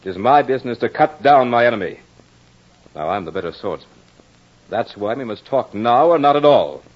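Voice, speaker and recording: An actor playing a proud, battle-hardened soldier in old radio horror drama, with formal, theatrical diction. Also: male voice, medium pitch, animated, normal speed, lightly acted. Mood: stern, urgent. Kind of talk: 1940s-50s radio horror drama acting. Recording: muffled old-time radio recording with music.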